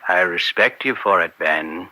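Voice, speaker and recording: An actor playing a middle-aged political fixer in an old-time radio drama, persuasive and polished, with a slick, insinuating way of talking. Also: male voice, low-pitched, animated, unhurried, sharp tone, dry recording, full character voice. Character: smooth-talking political fixer, persuasive and slippery family friend.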